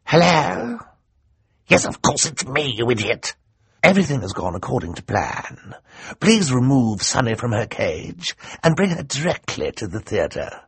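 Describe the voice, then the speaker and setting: A man's voice: medium pitch, sing-song, a little slow, slightly rough, no echo, pitched above the performer's own voice, exaggerated voice. A man voicing a scheming, theatrical male villain who gloats and mocks, in an audiobook. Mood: mocking. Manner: gloating, grand and mocking.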